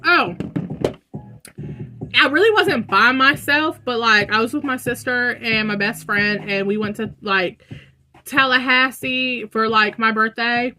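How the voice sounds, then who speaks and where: A young woman with a loud voice answering viewer questions in a casual vlog, chatty and saying "like" a lot. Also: a little high, unhurried, soft background music. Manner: chatty, says "like" often. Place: room at home with dogs.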